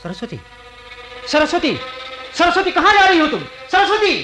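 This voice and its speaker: A man's voice: extremely high pitch, sing-song, normal speed, music in the background. An actor playing an earnest, questioning man courted by a ghost in a radio drama, with a theatrical delivery. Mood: desperate calling. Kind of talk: Hindi radio play acting.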